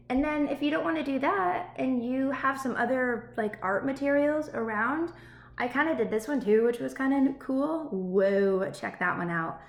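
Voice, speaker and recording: Woman teacher in a roomy, echoey space. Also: mid-range voice. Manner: encouraging, child-directed, with frequent check-in questions. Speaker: elementary school teacher. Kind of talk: read-aloud and chat to young pupils. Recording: roomy echo, faint background noise.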